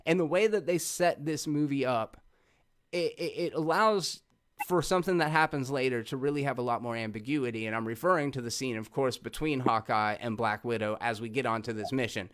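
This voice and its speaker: A man's voice: mid-range voice, fairly quick, a bit bright, smooth. A man hosting a casual film review show, effusive and swearing freely as he talks. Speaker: host of a movie review show.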